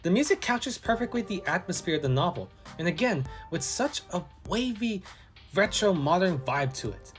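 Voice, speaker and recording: A man delivers a scripted game review with an enthusiastic, very animated voice that rises and falls freely. He speaks as a reviewer presenting to viewers, with music playing behind him. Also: high voice, normal speed. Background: background music.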